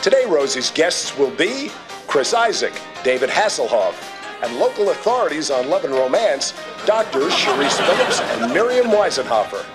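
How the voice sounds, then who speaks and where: A smooth TV promo announcer. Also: male voice, fairly high pitch, big pitch swings, normal speed, music in the background.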